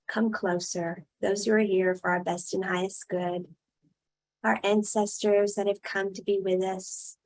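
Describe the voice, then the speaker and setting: Slow-spoken woman leading a guided meditation. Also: mid-range voice, creaky, rough recording. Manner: unhurried short phrases with long pauses.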